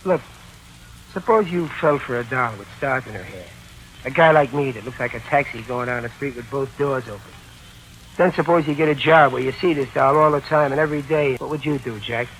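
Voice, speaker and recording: A man's voice: medium pitch, a little fast, fairly bright tone, close to an everyday voice. An actor playing a big, good-natured working-class tough guy in a 1940s radio drama, talking in ungrammatical street slang. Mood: wry, wistful. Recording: noisy vintage 1940s radio recording.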